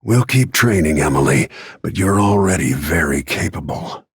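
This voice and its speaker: Grim video game assassin, middle-aged man, low-pitched, husky rasp, terse, brooding, dry close sound. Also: expressive, normal speed, no room sound, heavily acted. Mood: calm, stern. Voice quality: gravelly, husky. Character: grim, weathered middle-aged male royal bodyguard turned assassin.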